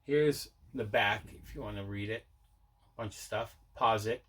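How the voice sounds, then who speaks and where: A man's voice: lowish voice, normal speed, a bit bright. A man hosting a collecting show, chatting casually as he shows off what he picked up. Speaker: host of a collecting video show. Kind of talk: casual unboxing chat.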